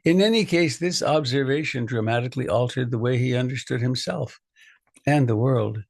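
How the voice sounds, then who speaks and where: A man, a meditation teacher, reading a reflective poem aloud in a measured, deliberate way. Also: mid-range voice, vocal fry, dry recording, studio quality.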